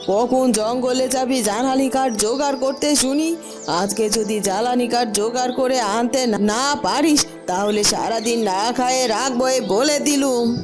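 A woman acts out a cruel village aunt for a cartoon tale. Her voice is high, harsh and full of nagging sarcasm. Faint music plays behind her. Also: measured pace, natural voice. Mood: threatening, scolding. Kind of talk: cartoon story acting.

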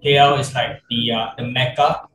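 A young man hosts a relaxed webcam podcast, chatty and easygoing. His voice sits at a middling pitch. The sound carries a noticeable room echo. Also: normal speed, background noise. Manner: easygoing, chatty, lots of "you know". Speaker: podcast host. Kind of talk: casual video-call interview. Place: small room indoors. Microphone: video call webcam. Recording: roomy echo on a video call.

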